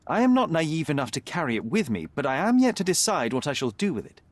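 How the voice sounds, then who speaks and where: A man voicing a confident young male detective in a video game, calm and precise. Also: a little high, fast, dry recording. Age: young adult. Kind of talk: video game cutscene dialogue.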